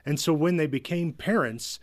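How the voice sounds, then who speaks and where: A man's voice: fairly high pitch, normal speed, no room sound, studio quality. A man talking casually as a guest in an interview. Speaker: guest, audio drama writer.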